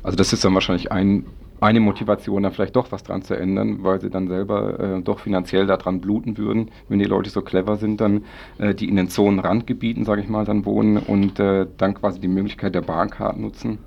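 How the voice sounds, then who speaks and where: Male radio interviewer, low and gravelly, casual and searching for words, slightly muffled recording. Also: little pitch movement, a little fast. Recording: slightly dull, lo-fi sound.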